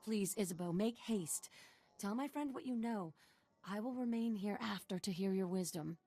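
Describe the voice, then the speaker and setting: A woman's voice: mid-range voice, normal speed, mellow, close to an everyday voice. A woman voicing an eccentric, deferential desert guide in a video game, formal and mysterious as she tells her tale. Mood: respectful. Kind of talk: scripted video game acting.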